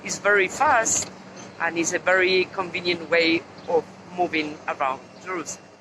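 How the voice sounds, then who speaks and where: A middle-aged woman from Argentina with an Argentine Spanish accent, guiding a walking tour outdoors and slowly pointing out sights. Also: on the low side, fairly even intonation. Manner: slow, explanatory, points out sights. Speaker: tour guide. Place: outdoors on city streets and a tram. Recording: loud street and traffic noise.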